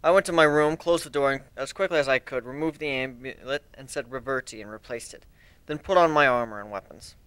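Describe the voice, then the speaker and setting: A man reading a story as an amateur narrator, telling it in the first person with a theatrical, expressive delivery. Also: medium pitch, a little fast, clean tone, dry recording.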